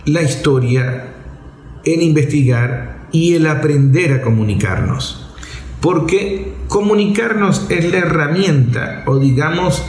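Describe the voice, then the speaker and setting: A man's voice: a little high, darkish tone. A man giving reflective, didactic commentary in an emphatic, rhetorical way, with posed questions and repeated phrasing. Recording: echoey room.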